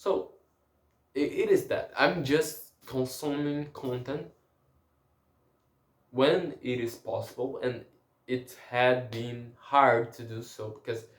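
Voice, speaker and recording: Slow, hesitant man in an echoey room. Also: medium pitch. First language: Portuguese. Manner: halting, with frequent restarts and searching for words. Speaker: language learner. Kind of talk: casual spoken language diary.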